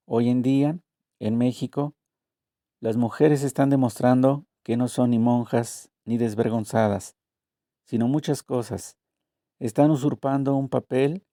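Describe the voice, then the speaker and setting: A man reading a translated interview aloud, voicing both the questions and the answers in a measured, deliberate way. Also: mid-range voice, warm tone, dry recording, studio quality.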